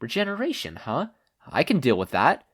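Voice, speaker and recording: A man's voice: medium pitch, wildly swooping pitch, normal speed, a bit bright, slightly rough, voice pushed up, full character voice. A man voicing a brash, upbeat adult superheroine with a breezy, quippy manner in a fan fiction reading.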